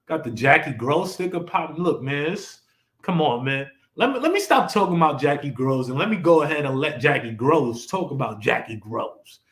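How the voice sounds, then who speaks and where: A man's voice: fairly high pitch, expressive, normal speed, fairly bright tone. A young man hosting a casual live-stream talk show, rambling and laid-back with lots of fillers. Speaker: podcast host. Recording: echoey room.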